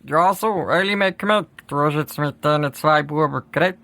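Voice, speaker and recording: A man's voice: fairly high pitch, normal speed, sharp tone, soft background music. A man playing a cautious, practical police sidekick who grumbles a little, with a Swiss German accent, in a comic audio play. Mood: questioning.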